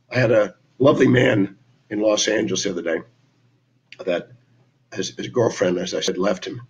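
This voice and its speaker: A man with a raspy, hoarse voice giving a motivational talk, telling stories about his clients and quoting their conversations. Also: lowish voice, rough recording. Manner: storytelling, quoting clients in dialogue. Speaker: speaker on his live stream.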